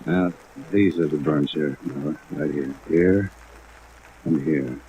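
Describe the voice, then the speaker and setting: A man's voice: rumbling low, slow pace. An actor playing a seasoned police lieutenant in a 1950s radio drama, matter-of-fact, methodical and dry in his plain-spoken talk. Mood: explaining. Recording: tinny phone-like old radio recording, faint hiss. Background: steady rain.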